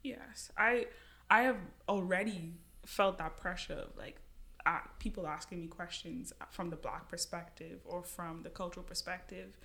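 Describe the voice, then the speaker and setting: A woman's voice: fairly low pitch, fairly even intonation, moderate pace, creaky, bright, forceful tone. A woman from the Bahamas who works as a clinician, speaking in a thoughtful, conversational way on a podcast. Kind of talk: casual podcast discussion.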